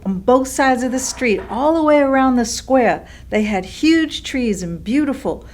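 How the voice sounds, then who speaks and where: A woman's voice: high voice, expressive, measured pace, a little mellow. An actress playing an elderly woman in a staged reading, recalling old times with admiring nostalgia. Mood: fond nostalgia. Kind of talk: staged reading of oral history monologue.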